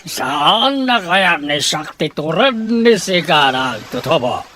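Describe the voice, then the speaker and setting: An actor playing confrontational island figures, a domineering landowner among them, in a theatrical radio drama style. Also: male voice, on the high side, sing-song, slow pace, pushed and bright, slight background noise, exaggerated voice. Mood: threatening.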